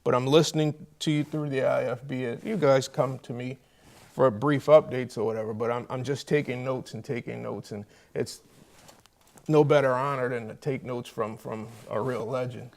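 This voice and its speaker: A man's voice: mid-range voice, steady tone, normal speed, creaky. A man hosting a sports show, interviewing guests in a casual, informal and chummy way.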